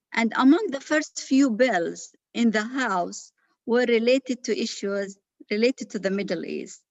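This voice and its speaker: A woman's voice: medium pitch, dry recording. Woman from Palestine, formal earnest presenter; dull lo-fi audio. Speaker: association president, presenter. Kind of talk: conference presentation.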